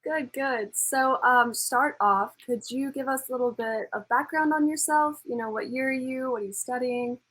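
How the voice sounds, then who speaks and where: A woman's voice: high voice, moderate pace, few pauses, a bit bright, poor audio. A young woman hosting a student show, asking casual, rambling interview questions.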